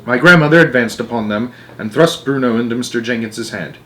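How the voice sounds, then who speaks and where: A man reads a children's story aloud as an amateur narrator. His voice sits at a middling pitch, and he tells it with animated storytelling delivery. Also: a little fast, slight background noise, rough recording.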